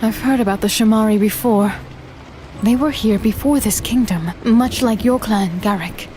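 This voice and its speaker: A woman playing an earnest, insistent heroine in a dark fantasy audio drama. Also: a little high, normal speed, a little hiss or hum, close to an everyday voice. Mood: uneasy. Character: earnest, sharp-minded heroine, companion to a skeptic.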